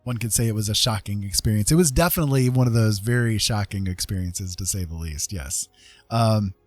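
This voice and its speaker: A man's voice: fairly low pitch, brisk, no echo, soft background music. A man chatting casually as a livestream host, rambling conversationally and often checking in with his listeners. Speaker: live streamer host.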